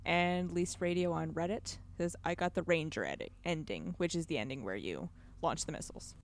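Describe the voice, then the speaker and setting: A woman co-hosting a podcast, talking about video games in a blunt, informal, animated way. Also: lowish voice, normal speed, slight background noise. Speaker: podcast co-host. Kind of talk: casual video game discussion.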